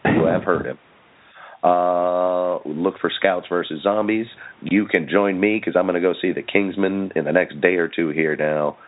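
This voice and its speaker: A man hosting a podcast in a casual, halting, self-deprecating chat style. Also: low-pitched. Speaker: podcast host. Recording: muffled phone-like sound.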